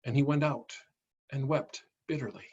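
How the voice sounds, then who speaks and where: Husky middle-aged man reading scripture. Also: mid-range voice, fairly even intonation, normal speed, darkish tone, lo-fi sound. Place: indoor room, on a video call. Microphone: video call.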